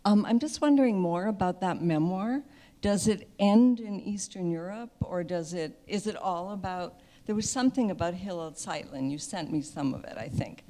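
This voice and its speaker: Woman audience member, informal questioner with a soft-edged dark tone and lowish pitch. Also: lively intonation, moderate pace. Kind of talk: informal spoken question and comment.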